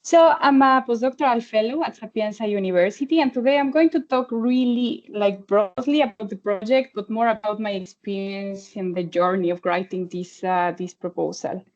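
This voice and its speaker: A woman from Mexico speaks with a Mexican Spanish accent, presenting her research over a video call. She talks in a friendly, halting way, filling pauses with ums and uhs. The sound is a little dull and lo-fi. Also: mid-range voice, darkish tone. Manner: friendly, halting, many fillers. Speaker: postdoctoral researcher giving a testimonial. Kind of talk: online presentation.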